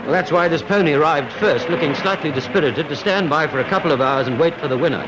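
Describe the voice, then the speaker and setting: A man's voice: a little high, fast, poor audio. A man reading scripted 1940s sports film commentary in a wry, lightly humorous manner. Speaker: newsreel-style sports narrator. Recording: vintage 1940s film soundtrack, music behind. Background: background music.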